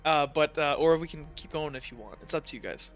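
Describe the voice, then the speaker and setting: A man working as game master, improvising tabletop narration in a halting, conversational way. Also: on the high side, muffled recording, music in the background.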